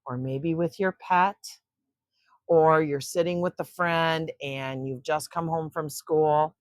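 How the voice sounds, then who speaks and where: Slow, low-voiced older woman reading stories. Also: fairly even intonation, dry recording, studio quality. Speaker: children's story-time host. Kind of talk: storybook read-aloud for children. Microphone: close microphone.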